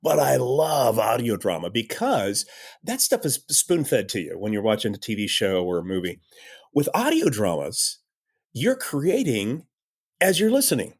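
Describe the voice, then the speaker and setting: An enthusiastic man speaks as a guest in a casual interview. His middle-pitched voice moves up and down a great deal. He is emphatic and likes to repeat a phrase to drive it home. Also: big pitch swings, a little slow, slightly rough. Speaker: interview guest, audio drama creator. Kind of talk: casual conversational interview answers.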